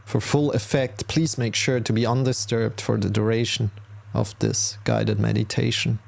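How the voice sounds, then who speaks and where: A man leading a guided yoga nidra meditation as its teacher, in a soothing manner with long pauses between short instructions. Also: medium pitch, little pitch movement, vocal fry, darkish tone.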